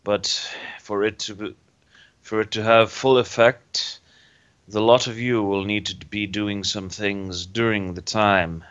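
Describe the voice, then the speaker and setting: A man playing a tabletop roleplaying game, speaking in a measured, formal in-character way. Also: fairly low pitch, flat delivery, fairly bright tone, clean tone. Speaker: player. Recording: dull lo-fi recording.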